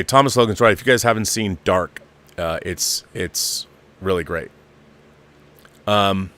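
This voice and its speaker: A man's voice: low voice, fairly bright tone. A middle-aged or older man hosting a live show, giving opinionated, casual film commentary and repeating phrases for emphasis.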